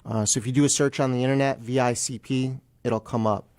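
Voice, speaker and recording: An American man from New Jersey giving a casual interview as a guest, pausing often with ums and uhs. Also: mid-range voice, fairly even intonation, a little mellow, no room sound, studio quality. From New Jersey, United States. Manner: hesitant, frequent ums and uhs. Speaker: guest attorney.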